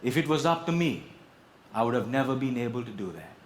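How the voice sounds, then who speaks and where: A man's voice: mid-range voice, very animated. Theatrical male motivational speaker in an echoey room. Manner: theatrical, emphatic, dramatic pauses. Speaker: contest speaker. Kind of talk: motivational speech to an audience. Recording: roomy echo, clear background noise.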